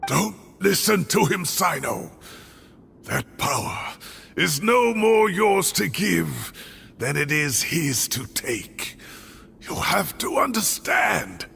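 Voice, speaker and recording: A man voicing a weary but protective old professor in a video game, with a gravelly voice and a halting, earnest delivery. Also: a little high, very animated, a bit bright, soft background music, full character voice. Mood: urgent, pleading. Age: older. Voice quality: gravelly, creaky. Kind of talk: dramatic video game voice acting.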